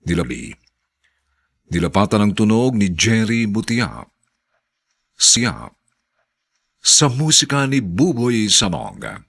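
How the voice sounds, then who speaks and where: A male radio drama announcer reading scripted narration theatrically. His low, slightly rough voice moves slowly, with big swings in pitch. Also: sing-song, a bit bright. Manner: theatrical, deliberate.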